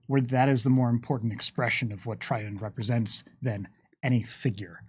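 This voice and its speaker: A man's voice: mid-range voice, breathy edge, no room sound. A man hosting a livestream, chatting casually through viewer questions in a wry, musing way with playful asides. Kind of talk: casual game lore Q&A chat.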